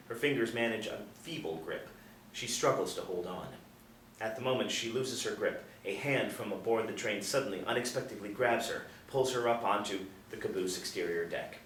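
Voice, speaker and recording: A man narrating stage directions at a screenplay table read in measured, dramatic storytelling phrases. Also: fairly low pitch, fairly bright tone. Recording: echoey room, distant, faint noise.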